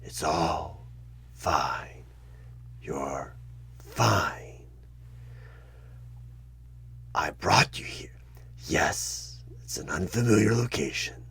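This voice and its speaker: Very deep gravelly demonic tempter. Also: male voice, exaggerated voice. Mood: eerily soothing. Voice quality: gravelly, breathy, half-whispered. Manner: slow, coaxing, menacingly calm, halting. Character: sinister demonic tempter, male, posing as job interviewer. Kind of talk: horror ASMR one-sided audio roleplay.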